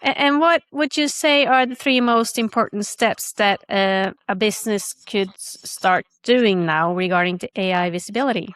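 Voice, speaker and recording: A woman's voice: medium pitch, normal speed, few pauses, fairly bright tone. Woman hosting a casual interview. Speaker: podcast host.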